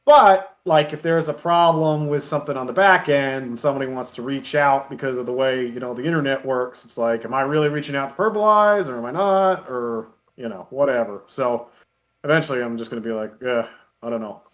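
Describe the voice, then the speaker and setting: A man talking casually as an interview guest, telling anecdotes in an animated way and acting out remarks. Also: mid-range voice, brisk.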